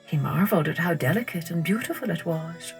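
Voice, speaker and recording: A woman storyteller reading a scripted children's tale in a deliberate, expressive manner. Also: on the low side, soft background music. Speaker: storyteller, podcast host.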